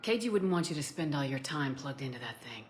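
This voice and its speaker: Military agent, deep female voice, quick delivery, businesslike, persuasive, game dialogue. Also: animated, a crowd in the background. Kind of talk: video game voice acting.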